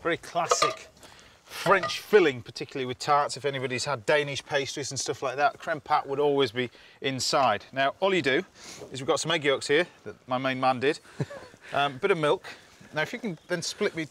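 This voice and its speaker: Chatty TV chef outdoors. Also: male voice, medium pitch, normal speed, fairly bright tone, slight background noise. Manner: chatty, instructive, laughs easily. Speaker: TV chef host. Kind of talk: cooking demonstration. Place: outdoors at a campsite.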